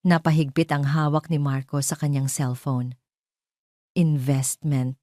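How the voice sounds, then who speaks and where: A woman's voice: low-pitched, steady tone, unhurried, mellow, no echo, studio quality. A woman narrating a scripted dramatic story.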